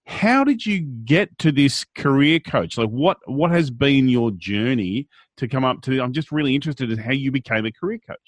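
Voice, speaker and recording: Lively male interview host. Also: mid-range voice, normal speed, hardly any pauses, no echo. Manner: encouraging, curious questions. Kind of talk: hosting an interview.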